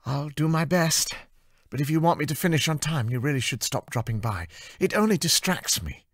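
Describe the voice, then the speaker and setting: A man's voice: a little high, expressive, moderate pace, no room sound, clean studio sound, pitched above the performer's own voice. A man voicing a tired, polite woman artist under pressure in an audiobook, hesitant and restrained. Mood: firm, guarded.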